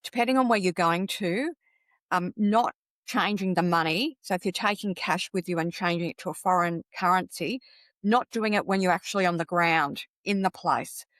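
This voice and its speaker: Woman, Australian accent, lower-pitched, conversational advice, podcast guest, dry recording. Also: moderate pace, a bit bright, no room sound. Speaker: podcast guest, travel coach.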